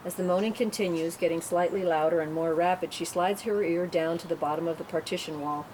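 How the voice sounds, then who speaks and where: A woman's voice: on the low side, steady tone, brisk, darkish tone. A woman reading stage directions as narrator at a script table read, in a matter-of-fact way. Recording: clearly noisy room recording.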